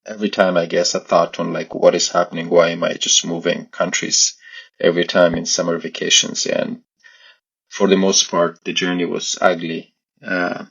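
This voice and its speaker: A man speaks very low and with little melody, his voice full of creak. He is an interview guest who picks his words carefully and hesitantly. The recording is slightly dull. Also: level tone, moderate pace, fairly bright tone. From Pakistan. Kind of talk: conversational personal recollection.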